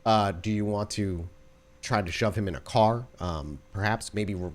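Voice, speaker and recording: A man's voice: on the low side, moderate pace. A man narrating a tabletop roleplaying game as storyteller, conversationally setting scenes and posing choices to the players.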